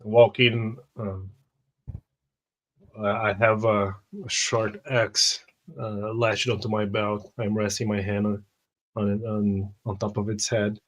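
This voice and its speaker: A man with a slightly hoarse voice from a cold hosts a tabletop role-playing session. He chats casually and trips over his words with restarts as he plays his character. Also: on the low side, little pitch movement, slow. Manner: chatty, stumbling restarts while role-playing. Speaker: host and player.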